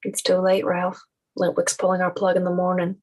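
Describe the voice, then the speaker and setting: A woman's voice: fairly low pitch, monotone, moderate pace, darkish tone, lightly acted. A woman playing a stern, aggrieved man from a video game world in a script read, curt and cold. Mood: cold, resigned. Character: stern, resigned, aggrieved male game resident. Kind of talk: animated film script table read.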